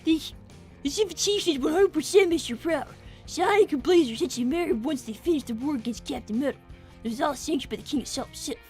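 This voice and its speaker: A woman's voice: high-pitched, expressive, fast, a little mellow, soft background music. A woman voicing a smug five-year-old boy fox, a scheming little genius with pompous, formal speech, in improvised livestream roleplay.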